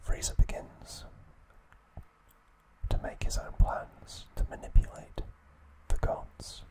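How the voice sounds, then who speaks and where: Male, whispered ASMR narration, very slow, short hushed phrases, flat delivery. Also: rough recording.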